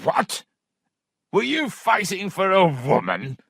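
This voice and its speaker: A man reading an audiobook, voicing a blustering, hot-tempered old country squire who speaks in loud, abrupt exclamations. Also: sits high, wildly swooping pitch, slow, creaky, husky, no room sound, clean studio sound, pitched above the performer's own voice, exaggerated voice. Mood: jovial. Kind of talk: audiobook character voice.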